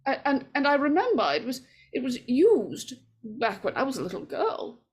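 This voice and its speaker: An actress playing a frightened, superstitious older woman in 1940s radio horror style, breathy and stammering. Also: high voice, big pitch swings, full character voice. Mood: nervous, hesitant. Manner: halting, stammering.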